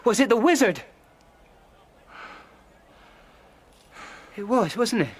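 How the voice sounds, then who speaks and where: A man's voice: extremely high pitch, animated, fast talker, slightly rough, full character voice. An actor playing a troubled young stable boy in a radio drama, earnest, insistent and questioning. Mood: defiant, questioning. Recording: faint background noise.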